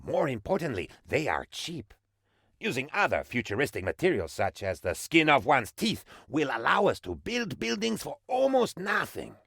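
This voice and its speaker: A man voicing an excitable, pompous and dim-witted sycophantic aide to a dictator in a video game, with theatrical, naively enthusiastic delivery. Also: on the high side, moderate pace, gravelly, breathy edge, no room sound, clean studio sound, full character voice. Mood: earnest, naive. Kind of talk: video game character voice lines.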